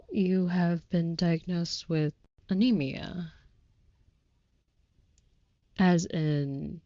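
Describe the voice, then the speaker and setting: A woman's voice: fairly low pitch, a little mellow, no room sound, lo-fi sound. A woman voicing a devoted, overprotective female vampire in an intimate audio roleplay, with formal, old-fashioned, tender and earnest phrasing. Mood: concerned.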